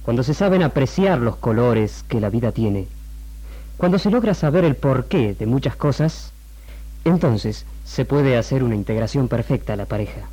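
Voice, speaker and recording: Mellow Argentine doctor, lecturing. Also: male voice, mid-range voice, lively intonation, moderate pace, breathy edge, low-quality recording. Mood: formal, explanatory. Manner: formal, lecturing. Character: measured, didactic doctor interviewed on television about marriage. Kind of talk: 1960s Argentine radio drama acting.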